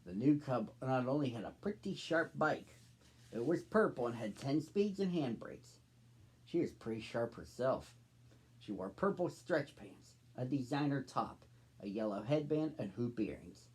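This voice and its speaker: A man's voice: medium pitch, expressive, measured pace, darkish tone, breathy edge. A man in his thirties hosting and reading a storybook aloud for children. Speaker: storybook reader, host.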